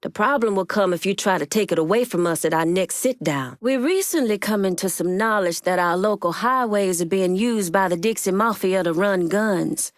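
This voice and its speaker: A woman's voice: medium pitch, normal speed, hardly any pauses, dry recording, clean studio sound, close to an everyday voice. An actress playing a tough, shrewd Haitian woman gang boss in a video game, blunt, streetwise and commanding. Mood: firm, commanding.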